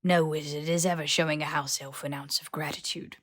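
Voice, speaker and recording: A woman's voice: deep voice, little pitch movement. Woman voicing an aged, whispery house-elf servant. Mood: resigned, dutiful. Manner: slow, deliberate, stilted third-person speech. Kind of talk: character voice in fan fiction audiobook.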